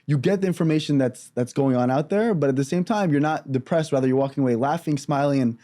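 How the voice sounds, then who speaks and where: Young ny guy rattling off stories fast, mellow dark tone, lots of likes and restarts. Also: on the high side. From Israel, raised on Long Island. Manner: rapid, animated storytelling with many fillers. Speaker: guest comedian. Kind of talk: casual podcast chat.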